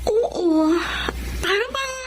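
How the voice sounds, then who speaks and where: High-pitched excitable young daughter. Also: female voice, very slow, over music, exaggerated voice. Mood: doubtful, defensive. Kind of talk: Tagalog radio drama acting. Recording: noisy background ambience.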